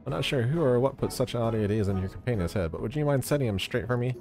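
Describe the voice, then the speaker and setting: A man's voice: medium pitch, expressive, fast, a little mellow, faint music behind, close to an everyday voice. A man voicing a mild, helpful rabbit-like moon creature from a video game in a friendly manner. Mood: puzzled.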